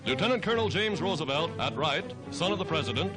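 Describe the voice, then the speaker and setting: A man's voice: a little high, lively intonation, fast. An American man narrating a wartime 1940s newsreel from a script, in a dramatic, emphatic, declamatory manner. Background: loud music.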